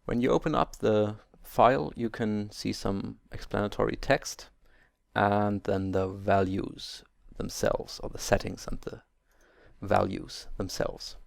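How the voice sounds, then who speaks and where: A man's voice: fairly low pitch, fairly even intonation, a little slow, vocal fry, no echo, clean studio sound. A German man speaking English as a second language, hosting a game let's play and carefully explaining settings step by step with small hesitations. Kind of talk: video game tutorial commentary.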